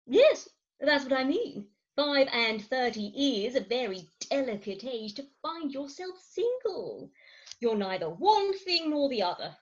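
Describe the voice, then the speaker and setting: A woman's voice: sits high, sing-song, unhurried, lo-fi sound, full character voice. A woman playing a fretful, theatrical middle-aged Victorian wife in a stage farce, confiding her woes emphatically over a video call. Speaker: actor in an amateur staged reading.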